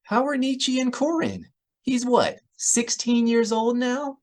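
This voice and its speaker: A man voicing an effusive, excitable woman in an audiobook, gushing as he talks. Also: very high-pitched, slow, pitched above the performer's own voice. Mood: excited, delighted. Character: effusive, bubbly, excitable woman greeting people.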